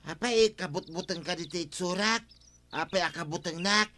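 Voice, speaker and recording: A man's voice: high voice, moderate pace, background noise, natural voice. A man playing a blunt, grumbling village firewood seller in a Filipino radio comedy drama. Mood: startled.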